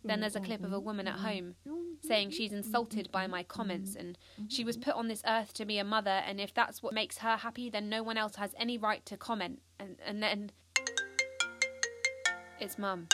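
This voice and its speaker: A woman's voice: medium pitch, steady tone, moderate pace, over music, natural voice. A woman playing a restless, defiant teenage schoolgirl, telling her story intensely in a first-person audio drama monologue. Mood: subdued, confiding. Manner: intense, urgent, confiding, swearing.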